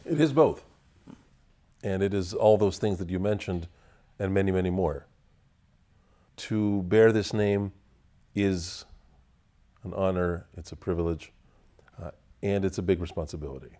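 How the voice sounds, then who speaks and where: A man whose first language is Russian, answering interview questions in a measured, deliberate way, pausing to find his words. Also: deep voice, dark tone. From Russian family, raised in the United States. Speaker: interview guest. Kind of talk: thoughtful conversational answers.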